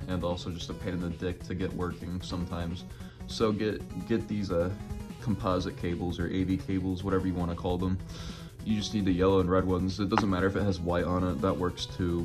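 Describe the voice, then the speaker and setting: Young male tutorial host, raspy and low-pitched, blunt and laid-back, with music playing behind him. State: just woken up. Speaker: retro gaming YouTuber. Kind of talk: casual how-to tutorial.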